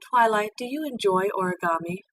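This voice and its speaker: A woman voicing a gentle, motherly pony queen, calm and formal, in a storybook reading. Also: medium pitch, moderate pace, natural voice. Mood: calm, fond. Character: ageless female pony ruler, gentle, motherly, regal mentor. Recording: distant mic with background noise.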